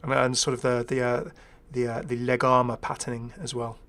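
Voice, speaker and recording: A man's voice: mid-range voice, monotone, brisk, a bit bright. A man giving casual, rambling first-impressions commentary as a gaming video creator, chatty, enthusiastic and self-deprecating.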